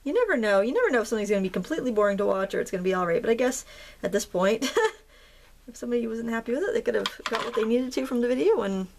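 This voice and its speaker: A woman hosting a craft tutorial, chatting in a relaxed, rambling way as she paints. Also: fairly high pitch, fast, vocal fry, a little hiss or hum. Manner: relaxed, rambling, with fillers and soft laughs. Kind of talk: casual chatty tutorial narration.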